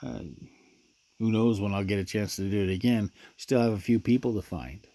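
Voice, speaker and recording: A man's voice: lowish voice, fairly even intonation, normal speed, dark tone, lightly acted. An actor playing a weary, troubled man in an audio drama, talking in a conversational, muttering way. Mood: muttering, tired. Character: weary, troubled male searcher who lives with snake. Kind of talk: radio drama acting.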